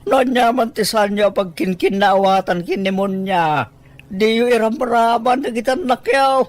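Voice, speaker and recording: A woman's voice: a little high, unhurried, no room sound, natural voice. Woman voicing a firm, coaxing family uncle, close-miked. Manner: coaxing, mediating. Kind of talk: Ilocano audio drama acting.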